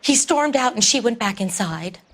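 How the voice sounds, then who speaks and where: A prim, gossipy 1940s American housewife in a video game. Her voice sits fairly high, and she talks in a confiding, neighborly way. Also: expressive, moderate pace.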